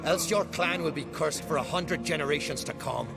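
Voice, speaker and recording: An actor playing an ominous, wrathful man pronouncing a curse in a video game, with a creaky voice. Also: a little high, moderate pace. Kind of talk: dramatic video game acting. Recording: loud music behind the voice.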